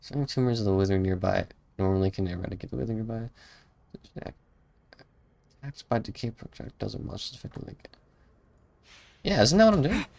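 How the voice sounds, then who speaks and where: A man's voice: lowish voice, lively intonation, fairly quick, vocal fry, pauses often, darkish tone. A man live streaming a video game with casual, slangy commentary, often muttering and sounding unsure of himself. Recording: music behind the voice. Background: game music.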